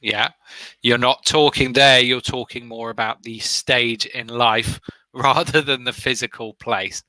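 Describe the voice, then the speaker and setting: A man's voice: mid-range voice, fairly even intonation, measured pace, sharp tone, no echo, low-quality recording. A man who is a former examiner, asking practice questions and giving encouraging, step-by-step feedback over an online video call. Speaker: former IELTS examiner running speaking practice.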